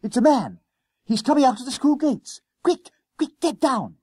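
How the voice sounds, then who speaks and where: Man voicing an excitable, bossy schoolboy, pitched high. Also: moderate pace, husky, dry recording, studio quality, full character voice. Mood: alarmed, urgent. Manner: urgent whispers and gasps, old-fashioned schoolboy slang. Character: bossy, excitable schoolboy ringleader, eager and conspiratorial.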